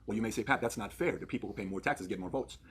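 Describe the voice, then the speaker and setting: A man's voice: lowish voice, rapid-fire, fairly bright tone, husky. A man in his thirties from Iran presenting a persuasive explainer, earnest and emphatic, posing questions and answering them himself. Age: 30s. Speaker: video presenter.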